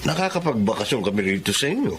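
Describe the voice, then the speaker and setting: A man's voice: medium pitch, very animated, racing, a little hiss or hum, lightly acted. An actor playing a caring young father in a radio drama, speaking earnestly and politely. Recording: music underneath.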